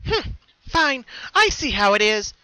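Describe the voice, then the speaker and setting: A menacing pony girl doppelganger, played by a man in a dramatic story reading. The voice is very high and bright, bubbly and bouncy, with a huffy, impatient edge and big emphatic delivery. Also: sing-song, unhurried, clear, resonant tone, no echo, full character voice, low-quality recording.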